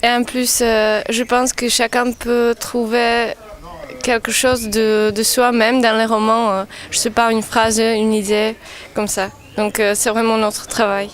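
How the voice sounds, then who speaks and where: A young woman from Czechia speaks. Her voice is slightly high and flat in tone, and she talks in a measured, hesitant way. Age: young adult. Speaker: international student.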